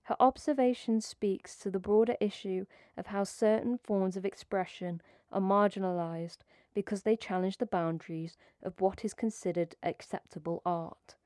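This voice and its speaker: A woman with a warm, mellow voice delivers scripted academic narration, measured and even. The sound is close and dry, with no room around it. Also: medium pitch, no room sound. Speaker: video essay narrator.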